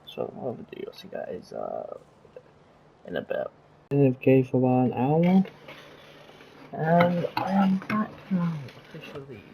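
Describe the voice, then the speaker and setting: A man giving casual gameplay commentary as a streamer, rambling and halting, often repeating himself. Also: fairly high pitch, very animated, gravelly, highs cut off, slight background noise. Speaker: game streamer.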